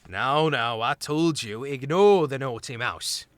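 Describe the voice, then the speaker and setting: A man voicing a steady, weary male warrior mouse who leads the group. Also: medium pitch, slow, dry recording.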